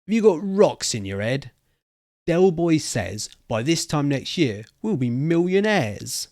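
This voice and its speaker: A man voicing a blunt, argumentative cockney pub regular who talks loudly and in slang. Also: fairly high pitch, big pitch swings, measured pace, dry recording, studio quality, voice pushed up. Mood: grumbling.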